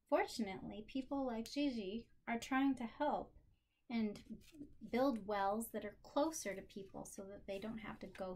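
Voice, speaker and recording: A woman, a teacher reading aloud and explaining simply to children in an unhurried, questioning way. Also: medium pitch. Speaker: special education teacher.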